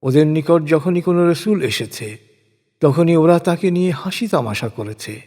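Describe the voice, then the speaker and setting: A man narrating translated scripture in a solemn, measured, deliberate way, reading from a script. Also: a little high, expressive, darkish tone. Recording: long reverberant hall echo.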